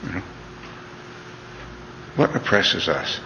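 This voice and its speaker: Deep-voiced man lecturing in a hall. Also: lively intonation, slow, background noise. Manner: earnest, conversational, with frequent "you know" and restarts. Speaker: speaker giving a talk. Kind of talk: reflective lecture to an audience. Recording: dull lo-fi recording in a reverberant hall.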